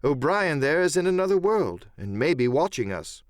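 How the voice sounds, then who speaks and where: A man's voice: fairly high pitch, big pitch swings, moderate pace, no room sound, clean studio sound, voice pushed up. A man voicing an earnest, wary boy sailor in an audiobook, speaking plainly, politely and firmly.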